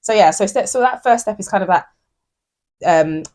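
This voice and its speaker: A woman's voice: medium pitch, animated, moderate pace, a little mellow. A woman hosting a casual talk show, speaking in a reflective, affirming way with frequent fillers. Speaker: podcast host. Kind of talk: casual chat.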